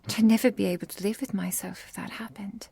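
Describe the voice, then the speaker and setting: A woman voicing a gentle, protective vampire girlfriend in an intimate ASMR roleplay, tender and slightly formal, often dropping to a breathy whisper. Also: mid-range voice, steady tone, fast talker, no echo. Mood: confessional, grave.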